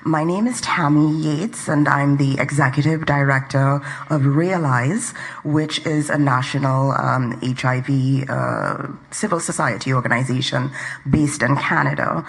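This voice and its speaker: A woman with a Trinidadian accent speaks into a floor microphone in a large conference hall. Her voice carries a gravelly edge, and she sounds polite, articulate and measured. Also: very deep voice. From Trinidad and Tobago. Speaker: audience member at a conference. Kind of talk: formal comment at a microphone.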